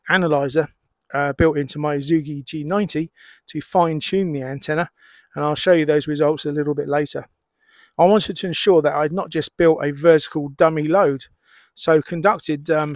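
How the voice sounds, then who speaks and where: A middle-aged man explaining and demonstrating as a hobbyist presenter, in measured short phrases with pauses. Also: on the high side, no room sound. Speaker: amateur radio hobbyist presenter.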